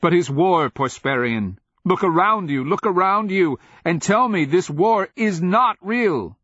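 A man's voice: sits high, lively intonation, unhurried, fairly bright tone, husky, dry recording, dull audio, voice pushed up, full character voice. A man voicing a bewildered young king in a fantasy audiobook, urgently crying out and demanding answers. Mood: protesting. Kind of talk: fantasy audiobook character acting.

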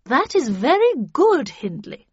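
A woman's voice: high-pitched, big pitch swings, measured pace, no room sound, dull audio, voice pushed up. A woman voicing a prim, weary but encouraging female counsellor in a story. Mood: brisk, encouraging.

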